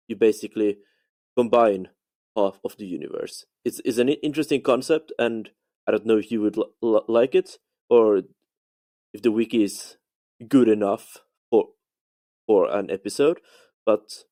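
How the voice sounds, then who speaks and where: Finnish-accented man, low mellow voice, halting voicemail, dry close sound. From Finland. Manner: halting, restarts words, polite. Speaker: voicemail caller. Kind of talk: recorded voice message.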